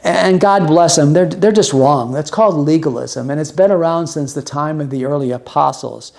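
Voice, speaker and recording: A man with a somewhat high voice delivers a sermon in a conversational way. He explains each point earnestly, often repeating a phrase to drive it home. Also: normal speed, creaky, a little mellow. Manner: earnest, explanatory, uses repetition for emphasis. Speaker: preacher and podcast host.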